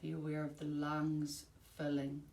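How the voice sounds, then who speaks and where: Low-voiced woman guiding a slow relaxation meditation. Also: vocal fry. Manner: calm, soothing, measured instruction with long pauses. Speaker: yoga nidra teacher. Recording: roomy, distant mic, faint background noise.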